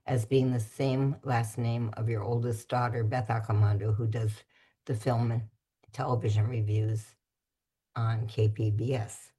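A very deep, husky-voiced woman hosts a community radio show. She talks in a relaxed, conversational way and sometimes pauses to find her words. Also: rough recording. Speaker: radio host.

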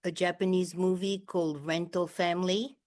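An older woman, a native French speaker, answering a radio interviewer's questions as a memoirist and poet in a measured, gentle way. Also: lowish voice, flat delivery.

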